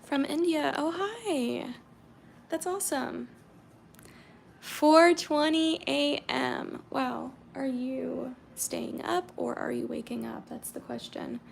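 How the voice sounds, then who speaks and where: High, gravelly-voiced American woman. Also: slow, a little hiss or hum. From Georgia, USA. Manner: chatty, reads viewer comments aloud, drops to soft murmurs while painting. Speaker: livestreaming painter. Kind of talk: casual livestream chat.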